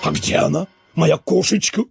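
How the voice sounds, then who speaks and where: A man's voice: fairly high pitch, normal speed, vocal fry, loud music behind the voice. A man voicing a theatrical con man who poses as a wronged aristocratic husband, melodramatic and declamatory. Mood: jealous despair.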